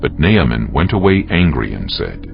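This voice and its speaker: A man's voice: rumbling low, sing-song, normal speed, gravelly. A man narrating dramatized scripture from a script. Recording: dull lo-fi, music underneath.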